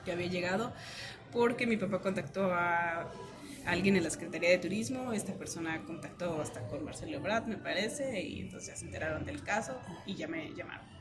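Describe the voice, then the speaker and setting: A woman with a Mexican accent telling a personal story casually as an interview guest, animated when she retells exchanges. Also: fairly low pitch, brisk, noisy background.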